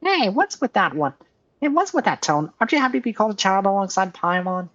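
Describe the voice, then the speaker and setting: A man's voice: high-pitched, very animated, fast, a bit bright, no echo, muffled recording, voice pushed up. A man voicing a small, indignant, childlike female companion in a video game, pouty and full of questions. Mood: indignant, questioning. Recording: music behind the voice.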